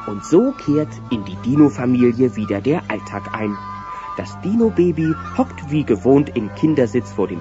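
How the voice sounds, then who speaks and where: A man's voice: medium pitch, natural voice. A man playing the narrator of a German children's audio play, reading scripted storybook narration. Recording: lo-fi cassette sound over music.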